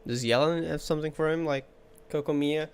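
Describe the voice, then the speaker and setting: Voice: medium pitch, steady tone, a little fast, clear, resonant tone. A game streamer giving casual reaction commentary over gameplay, with game music behind. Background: faint game music.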